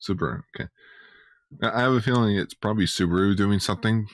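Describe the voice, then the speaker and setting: A man co-hosting a podcast in casual fan chat, often halting and repeating words as he goes. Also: lowish voice, no room sound. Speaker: podcast co-host.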